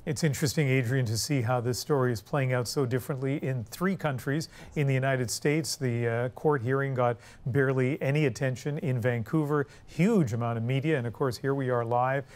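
A man anchoring a live TV news broadcast from the studio with a brisk, polished delivery. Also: mid-range voice, a little mellow.

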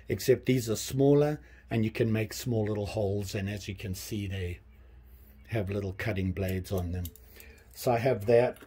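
A man in his fifties casually showing his tools in a small workshop, talking in a relaxed, rambling way with many pauses and restarts. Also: on the low side, slightly rough. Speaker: hobbyist channel host. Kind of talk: casual show-and-tell vlog. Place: small workshop with pegboard tool wall, indoors.

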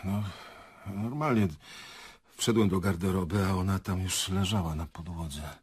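A rattled theatre director in a radio play, deep raspy voice, halting and guarded. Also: male voice. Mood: guarded, subdued. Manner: hesitant, halting. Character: shaken, evasive male theatre director, halting and dazed. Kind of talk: radio drama acting.

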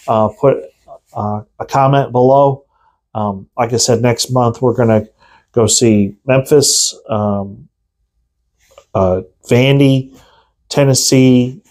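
A middle-aged man in a small indoor room, speaking straight to a fixed camera in a loose, rambling way. His voice sits at an ordinary pitch, and he fills his pauses with lots of ums and uhs. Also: darkish tone. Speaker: vlogger, channel host. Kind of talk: casual talk to camera.

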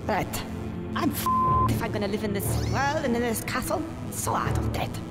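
A man's voice: extremely high pitch, exaggerated voice. A man voicing a pompous, villainous weasel professor in a video game, theatrical and hysterical. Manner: pompous, theatrical, swinging into shrieks. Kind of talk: video game character acting.

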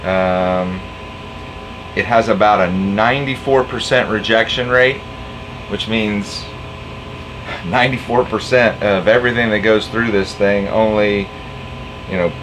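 A man in his forties with a lowish voice explains, step by step, a project he built himself. He talks casually, with plenty of fillers, in a cluttered home workshop. A camera mic a few feet away catches him over a clear background hum. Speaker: boat owner and DIY tinkerer.

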